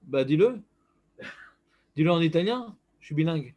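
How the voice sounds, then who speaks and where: High-pitched male teacher running a casual class, playful and teasing. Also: moderate pace. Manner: joking, banters with students, animated. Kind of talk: informal Torah class with questions to students.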